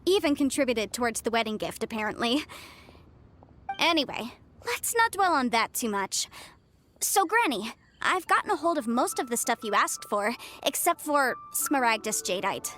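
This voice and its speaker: A high-voiced, chatty young legal advisor. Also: female voice, fairly bright tone. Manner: talkative, articulate, businesslike. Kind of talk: video game voice acting. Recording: music under the voice. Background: background music.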